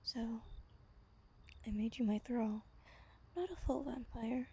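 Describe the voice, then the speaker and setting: A woman playing an ancient, wistful vampire lover in a soft, breathy ASMR roleplay, telling her tale slowly and intimately. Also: fairly high pitch, steady tone, exaggerated voice. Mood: fond, tender. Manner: gentle, slow, intimate storytelling.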